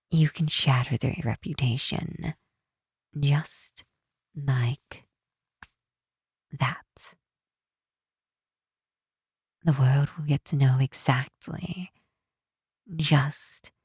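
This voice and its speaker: Girl doing a possessive villainess for asmr, dark low voice, slow n teasing, theatrical but tender. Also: no echo, studio quality, exaggerated voice. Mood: quietly tempting. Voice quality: breathy, hushed. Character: possessive female supervillain, softly menacing, tender toward captive. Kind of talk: intimate ASMR roleplay acting.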